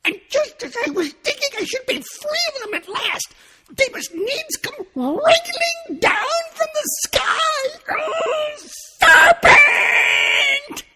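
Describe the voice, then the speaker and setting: A man reading a storybook aloud, voicing a tearful, accusing female pigeon in a shrill, sobbing way. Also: huge pitch swings, slow pace, in a large hall, background noise, full character voice. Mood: aggrieved, shrieking.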